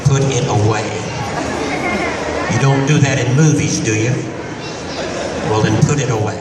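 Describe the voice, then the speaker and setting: A stingy, scolding old miser in the style of a live puppet comedy, as a man puts him on. He grumbles and teases slowly and deliberately in a gruff, medium-pitched voice. The sound is picked up from far off in a booming mall hall over loud crowd chatter. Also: steady tone, heavy background noise, lightly acted. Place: large indoor shopping mall.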